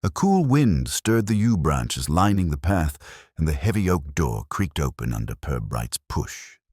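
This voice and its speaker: A very deep-voiced man narrates a detective story in a measured, literary way. His pitch moves freely as he goes, and the sound is dry and close. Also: very animated, a little mellow, husky, no room sound.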